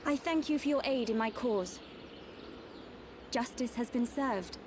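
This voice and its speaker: A woman plays a dignified young warrior. Her high voice moves expressively at a slow, formal pace, in grave video game drama with noise behind. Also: close to an everyday voice. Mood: grieving, solemn.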